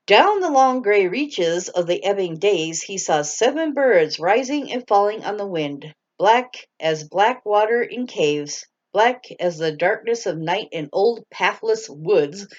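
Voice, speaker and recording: Slow, lively-voiced mom reading a story. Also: female voice, mid-range voice, muffled recording. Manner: stumbles over hard words and laughs at herself. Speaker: parent reading to her children. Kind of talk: bedtime story read aloud.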